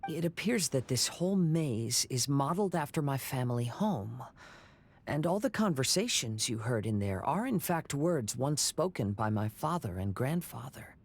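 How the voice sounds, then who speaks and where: A man playing a calm, wistful young noble wanderer in a game cutscene, speaking in a measured, reflective way. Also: medium pitch, a little hiss or hum. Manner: measured, reflective, gentle phrasing. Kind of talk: scripted game cutscene dialogue.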